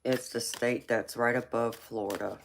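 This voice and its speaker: A woman's voice: very deep voice, fairly even intonation, normal speed, gravelly, a little hiss or hum. A woman with a Southern US accent, from California, hosting a casual livestream as a psychic tarot reader and answering viewer comments, laughing often and calling viewers baby.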